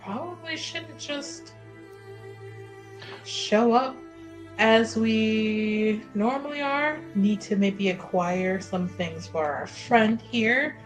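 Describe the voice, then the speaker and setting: A woman's voice: mid-range voice, poor audio. A woman improvising in conversation as a player in a tabletop roleplaying game. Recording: music behind the voice. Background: background music.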